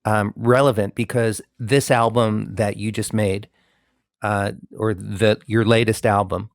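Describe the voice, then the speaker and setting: A man's voice: on the low side, fairly even intonation, unhurried, vocal fry, no room sound. A man hosting an interview, asking long, friendly, rambling questions with many fillers. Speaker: interview host, musician. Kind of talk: conversational interview.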